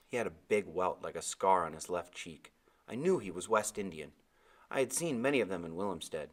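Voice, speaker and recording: A male read-aloud narrator with a lowish voice. He delivers scripted first-person adventure fiction in an expressive storytelling style.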